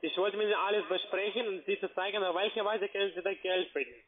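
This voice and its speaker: A man from Slovakia with a foreign accent, not a native speaker, giving a halting, formally polite telephone sales pitch in broken grammar. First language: not German. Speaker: investment phone salesman. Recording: narrow-band, tinny phone line.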